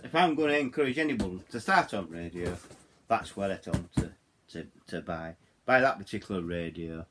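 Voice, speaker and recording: A middle-aged or older man with a Yorkshire accent gives a casual, unscripted product review, haltingly, often repeating words and phrases. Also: deep voice, fairly bright tone, slight background noise. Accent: Yorkshire English. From Yorkshire, England. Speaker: hobbyist video host reviewing radios.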